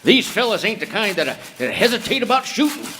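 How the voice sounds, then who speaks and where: A man's voice: high voice, very animated, fast, sharp tone, a little hiss or hum, full character voice. A man playing a nervous, hesitant railroad worker in a Western radio drama, on a distant stage recording. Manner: stammering, hesitant. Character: nervous, hesitant railroad crewman held captive.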